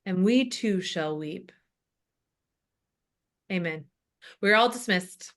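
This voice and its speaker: A woman voicing an older, food-loving male pastor with labored breathing, reading halting announcements at a comedy table read. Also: mid-range voice, a bit bright. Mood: hurried. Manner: halting, bumbling, muttered asides.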